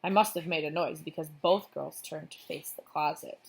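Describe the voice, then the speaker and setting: A woman reading a children's book aloud as narrator, in an expressive storybook style. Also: low voice, moderate pace, vocal fry, breathy edge.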